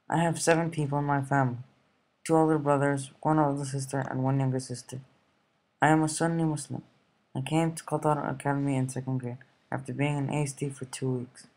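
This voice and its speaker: A teenage boy from the United States reading his school essay aloud in a steady, plain way. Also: medium pitch, low-quality recording. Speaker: student.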